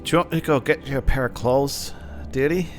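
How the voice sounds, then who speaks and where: A woman's voice: a bass voice, a little fast, over music. A woman voicing a clingy, affectionate young female hacker who fusses dotingly in a tabletop game. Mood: fussing. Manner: doting, calls listener dearie.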